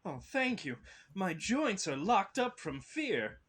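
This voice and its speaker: A man playing a stiff, stalwart tin woodman in a table read, making measured, earnest declarations. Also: high voice, very animated, fairly bright tone, full character voice. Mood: grateful. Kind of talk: table read of an audio drama.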